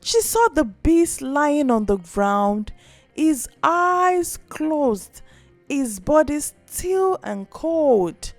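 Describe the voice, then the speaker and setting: A woman narrating a scripted folktale as a storyteller, with an expressive, dramatic delivery. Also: high-pitched, drawn-out words, no room sound. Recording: music behind.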